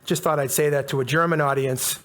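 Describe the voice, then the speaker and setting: Middle-aged North American man lecturing a live audience, fairly high voice, conversational, emphatic, leans on key words. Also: fairly even intonation, fairly quick, fairly bright tone. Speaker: speaker giving a public talk.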